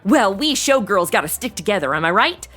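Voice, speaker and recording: A woman's voice: mid-range voice, big pitch swings, a little fast, a bit bright, faint music behind. A woman playing a brash, sarcastic young temp posing as a showgirl, talking in blunt modern slang in a noir comedy audio drama. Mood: bluffing.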